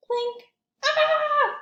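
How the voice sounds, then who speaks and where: A woman's voice: very high voice, sharp tone, reverberant, dull audio, voice pushed up, full character voice. A woman voicing an extremely timid, easily startled young child in a storybook, squealing and exclaiming.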